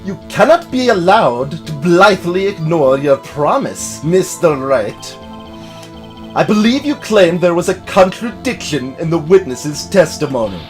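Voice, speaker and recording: A man voicing an arrogant older prosecutor in a video game, with pompous, menacing, drawn-out speech. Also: a little high, sing-song, faint music behind. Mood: disdainful, menacing. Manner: slow, drawn-out, pompous delivery.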